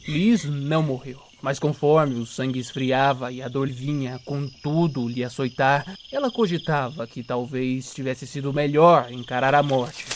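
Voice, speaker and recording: A man's voice: medium pitch, a little slow, hardly any pauses, slight background noise. A man with a Brazilian accent narrating a dramatic story in suspenseful, short clipped phrases. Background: music.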